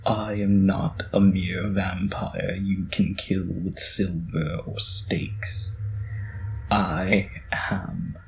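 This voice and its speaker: A man voicing an ancient, haughty vampire in a horror comedy audio drama, with a gravelly, hissing delivery and pompous menace. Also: sits low, little pitch movement, exaggerated voice, poor audio. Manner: slow, pompous, theatrical. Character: ancient, haughty male vampire, bald, pointy-eared, menacing.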